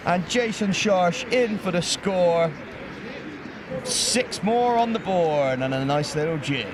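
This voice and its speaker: A man giving lively, joking play-by-play commentary on a live football game outdoors. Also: high-pitched, slow pace, crowd noise. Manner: lively, jokey play-by-play, laughs easily.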